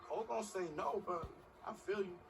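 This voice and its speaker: A man's voice: high-pitched, fairly quick, distant mic. An actor playing a breezy, friendly but blunt man in a video game cutscene, talking in casual slang. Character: breezy, blunt, friendly male celebrity acquaintance. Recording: off-mic, clear background noise.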